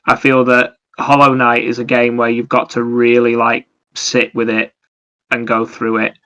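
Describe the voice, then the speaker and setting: A man's voice: medium pitch, monotone, moderate pace, sharp tone, low-quality recording. A man chatting casually as a podcast co-host about video games, explaining things in a rambling, anecdotal way.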